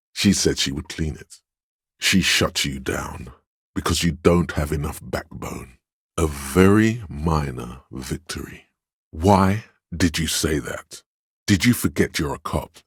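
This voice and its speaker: An actor playing a stern, proud inner voice of authority in a video game, imperious, deliberate and goading, with a very deep voice. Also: male voice, breathy edge, no room sound, studio quality, heavily acted. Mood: scornful appraisal. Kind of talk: scripted game voice lines.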